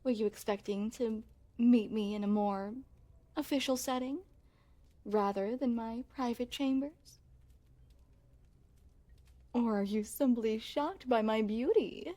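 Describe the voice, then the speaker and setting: Woman acting a soft-toned, unhurried regal young princess. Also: fairly high pitch. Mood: gracious, teasing. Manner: formal, courtly, coy phrasing. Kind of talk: intimate ASMR roleplay acting.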